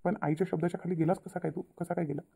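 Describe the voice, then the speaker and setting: A woman voicing a responsible elder brother and family peacemaker in a cartoon, probing and gently directing in a Vidarbha Marathi accent. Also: lowish voice, steady tone, very fast, vocal fry, slightly rough. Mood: questioning. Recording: tinny phone-like narrow audio.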